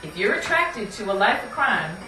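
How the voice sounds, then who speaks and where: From across an echoey room, a woman hosts a puppet show as its storyteller. Her delivery is folksy and playful, with very lively swings in pitch as she speaks straight to the listeners. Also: normal speed, music in the background. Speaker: narrator and host of a puppet show. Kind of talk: live theatrical storytelling to an audience. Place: live show in a room, away from the mic. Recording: echoey room from far off, noisy.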